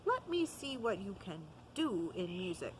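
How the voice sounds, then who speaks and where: A woman reading the part of a stern but kindly old male music master in a storybook, in a firm, measured way. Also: medium pitch, very animated, a little hiss or hum.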